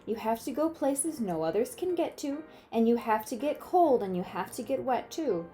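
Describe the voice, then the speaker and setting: A woman's voice: a little high, sing-song, normal speed, mellow, faint music behind. A woman voicing an eager, boastful young boy in a storybook, in singsong rhyming declarations.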